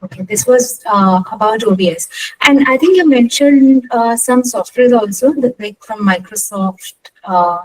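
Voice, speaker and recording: A woman's voice: on the high side, a little slow, darkish tone, rough recording. A woman putting polite questions to a speaker in a webinar. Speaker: participant asking questions.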